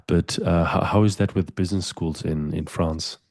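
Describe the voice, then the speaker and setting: A man's voice: low voice, fairly even intonation, moderate pace, gravelly, a little mellow, no echo, studio quality. A middle-aged man hosting a podcast, asking long, winding interview questions with frequent restarts. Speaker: podcast host.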